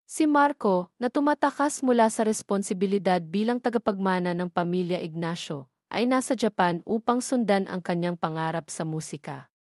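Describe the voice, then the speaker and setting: A woman's voice: mid-range voice, darkish tone, no room sound. Woman narrating a story, dry close sound. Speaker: audio drama narrator.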